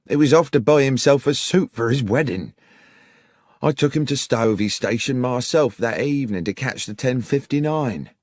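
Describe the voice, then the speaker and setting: A man's voice: medium pitch, expressive, normal speed, a little mellow, no room sound, studio quality, pitched above the performer's own voice, close to an everyday voice. A man voicing an earnest, worried older village publican with a British accent, plain-spoken and insistent. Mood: insistent, anxious.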